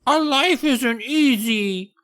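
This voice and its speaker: A woman's voice: high-pitched, slow, a bit bright, voice pushed up. A woman voicing a male mischief gnome who keeps insisting, over and over, that he means no harm. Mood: pleading.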